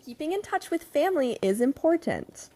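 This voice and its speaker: A woman's voice: high-pitched, big pitch swings, moderate pace, mellow. A woman playing a prim, doting middle-aged mother in an audio drama, polite and sweetly probing.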